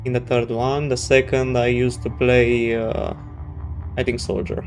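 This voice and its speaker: Even-toned Romanian man, hesitant, music behind. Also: mid-range voice, a little slow. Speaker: gaming channel host. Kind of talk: casual let's play commentary.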